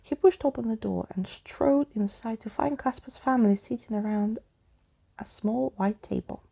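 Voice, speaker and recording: A woman with a husky, creaky voice reading a storybook aloud in a soft-spoken ASMR style. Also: on the high side, moderate pace. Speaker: storybook reader.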